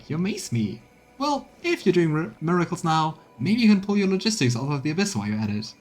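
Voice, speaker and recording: A crafty, eager quartermaster from a fantasy game, put on by a man, pitched well above his normal talk. He speaks bluntly and colloquially, like a born hustler, with music playing behind him. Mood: amazed admiration, then blunt complaint.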